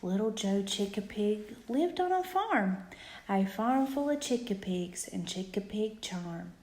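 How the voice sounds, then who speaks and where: A woman reading a rhyming bedtime storybook aloud to a child in an expressive, rhythmic way. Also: medium pitch, a little slow. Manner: rhythmic, animated read-aloud with emphatic questions.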